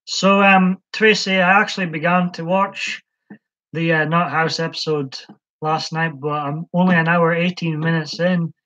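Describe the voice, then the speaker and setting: A man's voice: fairly high pitch, steady tone, measured pace, bright, forceful tone. A man hosting a casual live stream, chatting hesitantly with lots of ums as he answers viewers' comments. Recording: dull lo-fi stream audio.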